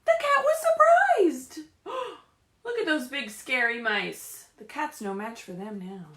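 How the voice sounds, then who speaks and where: Woman, children's storyteller, high lively pitch, question-filled child-directed delivery, roomy home sound. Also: slow. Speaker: children's librarian leading story time. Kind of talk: read-aloud picture book storytelling for young children. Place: indoors at home.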